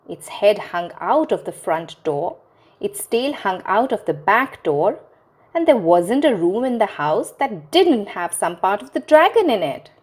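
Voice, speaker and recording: Female storyteller for kids, very animated pitch, slow and clear read-aloud delivery. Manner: expressive, sing-song storytelling to children. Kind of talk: reading a children's picture book aloud.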